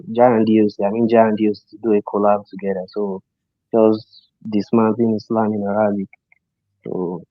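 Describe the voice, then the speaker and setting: A man calling in to a live stream, earnest and halting, with a cough. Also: lowish voice, no echo. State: has a cough. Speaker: caller. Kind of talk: call-in suggestion. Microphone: phone or call-in line. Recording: dull lo-fi call audio.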